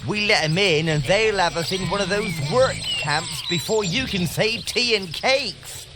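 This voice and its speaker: A man's voice: high-pitched, a little slow, noisy background. A man playing a wary, grumbling village peasant in a comic video game. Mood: suspicious.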